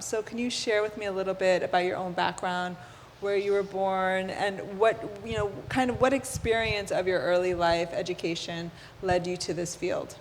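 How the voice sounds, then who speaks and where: Host: woman with a mid-range voice, upbeat, echoing radio studio with faint hiss. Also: medium pitch. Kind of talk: radio interview.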